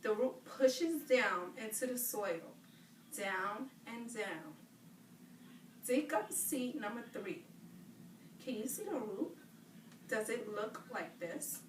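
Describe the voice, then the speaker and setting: A woman teacher reading aloud to children, pausing to question them and affirm their answers. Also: fairly high pitch, lively intonation. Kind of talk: interactive read-aloud lesson with questions to children. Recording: roomy, distant mic, clear background noise.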